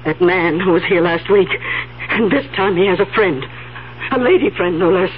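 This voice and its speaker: A woman's voice: lowish voice, moderate pace, a little hiss or hum, natural voice. An actress playing an indignant, confused old woman living alone, fussy and flustered, in a radio drama. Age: older. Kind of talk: 1970s radio drama acting. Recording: muffled old radio broadcast with music.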